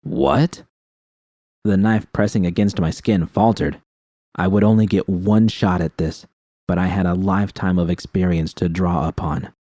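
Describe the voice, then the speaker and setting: A man's voice: sits low, steady tone, moderate pace, dark tone, dry recording, studio quality. A man reading scripted first-person dramatic fiction as an audiobook narrator.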